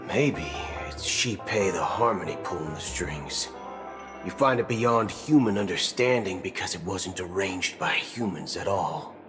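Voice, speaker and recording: Low-voiced, laid-back shrewd traveller. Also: male voice, animated, unhurried. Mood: musing, serious. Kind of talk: video game story dialogue acting. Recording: music under the voice.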